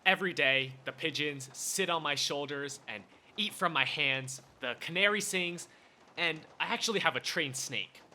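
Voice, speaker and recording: A man's voice: fairly high pitch, moderate pace, sharp tone. A man playing a pompous, unhinged, self-important official in a radio play, declaiming in a grandiose, melodramatic way. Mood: fond, proud. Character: pompous, unhinged, guilt-wracked chief dissector, theatrically self-important. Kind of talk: theatrical radio play acting.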